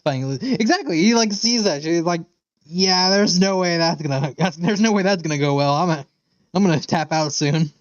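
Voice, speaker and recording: A man's voice: a little high, a little fast. A man streaming a game, giving casual, irreverent commentary with blunt, joking, sweary remarks. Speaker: streamer reacting to game cutscenes. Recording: dull lo-fi mic, game music behind.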